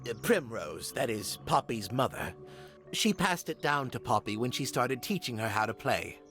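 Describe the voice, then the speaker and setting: A man acting as a genteel, sentimental older gentleman in a mystery game. His voice is mid-pitched and very expressive in its rise and fall. His speech is formal, with faint music behind. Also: normal speed, natural voice. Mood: fond, wistful.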